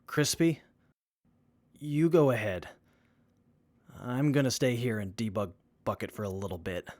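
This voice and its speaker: A man's voice: mid-range voice, unhurried, dry recording, natural voice. Man as a dry-recorded, practical tinkerer. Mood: matter-of-fact. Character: practical, inquisitive male companion tinkerer. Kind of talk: post-apocalyptic audio drama acting.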